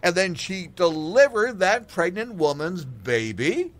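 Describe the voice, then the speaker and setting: A man playing an earnest, excitable TV field reporter, emphatic and announcerly, in an audio comedy. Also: high-pitched, unhurried, full character voice. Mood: insistent. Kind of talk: parody live news reporting.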